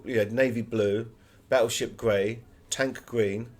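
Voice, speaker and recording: A man's voice: mid-range voice, steady tone, unhurried. A man giving conversational interview answers as an author, full of anecdotes.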